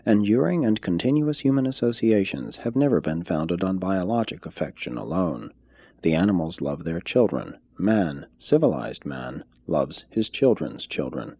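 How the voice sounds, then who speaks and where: A man reading a formal text aloud as scripted narration, in a measured, deliberate way. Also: low voice, big pitch swings, creaky, dark tone, slightly rough, no echo, lo-fi sound. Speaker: audiobook reader.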